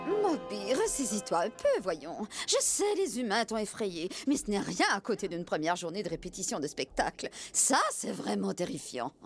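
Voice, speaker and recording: Motherly sea creature for animation: theatrical, coaxing, mid-pitched with animated intonation. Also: normal speed, hardly any pauses, soft background music. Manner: dramatic, coaxing. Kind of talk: French cartoon dub acting.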